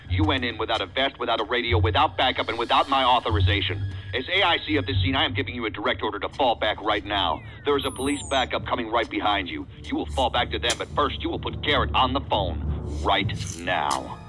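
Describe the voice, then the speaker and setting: A commanding, short-fused agent in charge in an audio drama. He barks orders in a sharp, forceful voice with very animated intonation, over faint music. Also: mid-range voice, fast talker, rough recording. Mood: stern anger. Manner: forceful, barking orders, profane. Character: hot-tempered, commanding male agent in charge.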